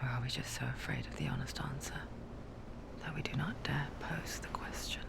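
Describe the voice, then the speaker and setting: A woman playing the solemn, hushed narrator of a dark video game tale, speaking in a measured, sombre way. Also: a bass voice, steady tone, gravelly, whispered, full character voice. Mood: brooding.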